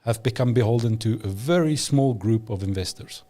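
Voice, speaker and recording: Man, Afrikaans speaker, mellow tone, emphatic, opinionated, podcast guest. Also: mid-range voice, normal speed. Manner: emphatic, opinionated, frequent restarts. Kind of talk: casual conversation.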